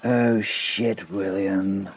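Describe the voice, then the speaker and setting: A man's voice: low voice, fairly even intonation, extremely slow, slight background noise. A man voicing a jovial, battle-hardened medieval knight in an audio drama, with a theatrical, exclaiming manner. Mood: shaken, pained. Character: battle-hardened, jovial, wine-loving male medieval knight, indignantly loyal. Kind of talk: audio drama character acting.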